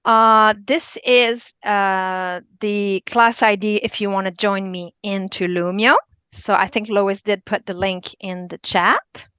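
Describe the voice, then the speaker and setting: A woman in her fifties with a Quebec French accent, a teacher presenting a webinar and often pausing to search for her words. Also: mid-range voice, steady tone, a bit bright, clear, resonant tone, no room sound. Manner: hesitant, searching for words, many ums.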